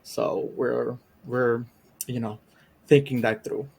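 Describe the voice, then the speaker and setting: A man's voice: mid-range voice, fairly even intonation, slow pace, gravelly, darkish tone, a little hiss or hum. Shy, hesitant guest guy. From Puerto Rico. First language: Spanish. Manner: shy, hesitant, lots of ums and laughs. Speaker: guest, YouTube reactor. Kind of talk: casual chat interview.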